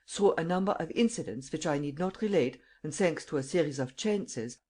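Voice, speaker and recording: A reader doing an excitable old German man. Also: medium pitch, natural voice. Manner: stammering, exclaiming.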